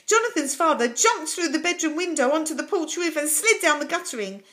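An older woman reading a children's story expressively and dramatically, with deliberate pauses, in a small room at home. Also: high-pitched, expressive, bright, forceful tone.

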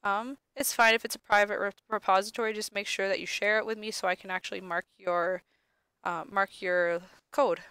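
A young woman lab instructor, sniffly from allergies, talking casually through a screen-recorded software tutorial with many ums. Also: medium pitch, steady tone, a little fast, smooth, no echo.

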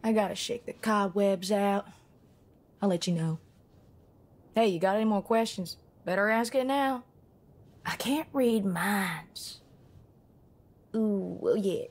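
A woman's voice: medium pitch, slow, pauses often. A woman playing a brash, sassy young gunsmith and inventor in a video game cutscene, boastful and swaggering. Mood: breezy. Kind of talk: English-language video game cutscene acting. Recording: loud music behind the voice.

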